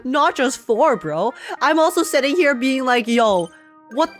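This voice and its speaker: A woman's voice: sits high, expressive, a little slow, faint music behind. Slangy woman streamer reacting to a game. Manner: slangy, emphatic, rambling. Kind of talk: casual excited live commentary.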